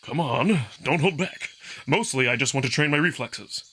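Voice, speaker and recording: A man's voice: medium pitch, lively intonation, normal speed, fairly bright tone, voice pushed up. Man voicing a playful cocky wolf prince. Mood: cocky, teasing.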